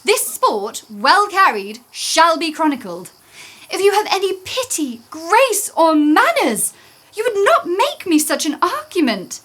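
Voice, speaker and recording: A woman's voice: very high voice, very animated, a little slow, a bit bright, exaggerated voice. An actress playing a wounded, indignant young woman in a theatrical Shakespearean radio play, with declamatory, dramatic delivery. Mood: bitter, hurt. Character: Helena, wounded indignant young woman, easily frightened lover.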